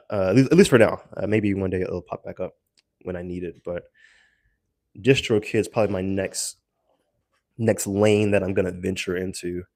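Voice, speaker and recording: A man giving a casual, rambling audio log as a solo creator, haltingly searching for words with self-deprecating asides. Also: fairly low pitch, a little mellow, dry recording, clean studio sound.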